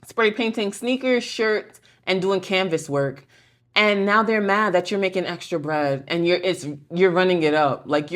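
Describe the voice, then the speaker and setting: A woman hosting a casual tarot reading, talking in a blunt, slangy, conversational way as she spins out scenarios. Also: on the low side. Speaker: tarot reader and video host. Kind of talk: casual pick-a-card tarot reading to camera.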